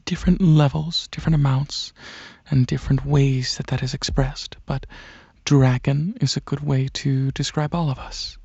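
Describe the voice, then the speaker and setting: A courteous alien dragon prince, played by a man, talks softly in an ASMR roleplay, gently explaining with musing hesitations. The voice is husky and very gravelly, recorded very dry and close with a dulled top end. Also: medium pitch, fairly even intonation, moderate pace, hardly any pauses, no room sound, highs cut off. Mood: soft, proud. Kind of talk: soft-spoken ASMR roleplay ramble.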